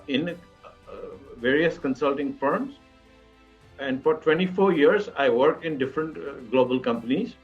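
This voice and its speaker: Halting Indian man on dull lo-fi audio. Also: medium pitch, fairly bright tone. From Mumbai, India. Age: 50s. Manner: halting, repetitive phrasing with frequent you-knows. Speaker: interview guest. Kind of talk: recounting personal life story in interview. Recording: dull lo-fi call audio, music underneath.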